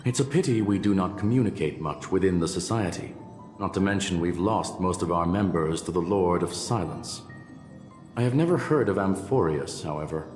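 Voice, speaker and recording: A man voices a detached robot genius. He talks in a measured, analytical way, with a very low voice. A long reverb and quiet music sit behind him. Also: close to an everyday voice. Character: aloof male robot genius scholar. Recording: roomy reverb with game music.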